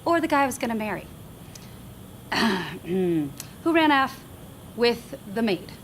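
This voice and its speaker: A woman's voice: on the high side, very animated, takes it slowly, a little hiss or hum. Woman playing a sardonic thirties woman, dry and wry. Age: 30s. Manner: sarcastic, wry. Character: sardonic 32-year-old woman, burned by men.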